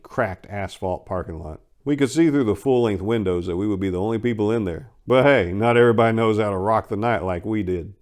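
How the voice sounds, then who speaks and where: A man giving a dramatic first-person reading of a short story in a wry, sardonic and expressive manner. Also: on the low side. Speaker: story narrator.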